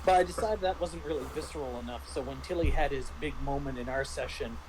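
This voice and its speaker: A man talking casually as a game master discussing a roleplaying game, explaining in a halting, rambling way. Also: medium pitch, background noise.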